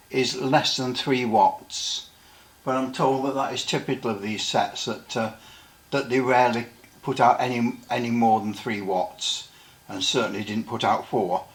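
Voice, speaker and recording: A middle-aged or older man with a mid-pitched voice talks through a practical demonstration. He speaks casually and haltingly, circling back over his words as a hobbyist would when showing equipment. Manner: halting, rambling, repeats himself. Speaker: radio hobbyist presenter.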